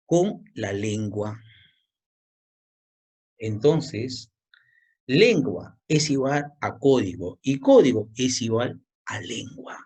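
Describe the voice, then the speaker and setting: A man's voice: medium pitch, darkish tone. A male teacher giving a language lesson, repeating key points and quizzing the student with questions. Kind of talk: classroom lecture.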